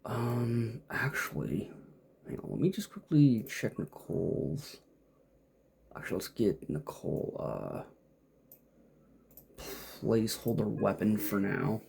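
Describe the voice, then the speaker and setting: A man comments casually on a game in a lowish voice with plenty of fry. His delivery is slow but swings up and down in pitch as he mutters his thoughts aloud. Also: very animated, darkish tone. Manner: muttering, thinking aloud to himself. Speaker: gamer playing and commenting. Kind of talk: casual off-the-cuff gameplay commentary.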